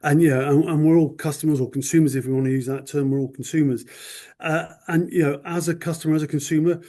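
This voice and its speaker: A man speaking as a guest on a podcast, thoughtful and measured, leaning on "you know" as he talks. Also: a little high, dark tone. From United Kingdom. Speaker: magazine owner, podcast guest. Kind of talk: casual interview chat.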